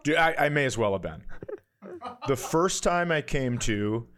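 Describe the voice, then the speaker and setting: A man's voice: mid-range voice, unhurried. A man telling a casual comic story in a self-deprecating way, acting out what people said as he goes. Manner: self-deprecating, animated anecdote telling with acted-out quotes. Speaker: comedian podcast guest telling a story.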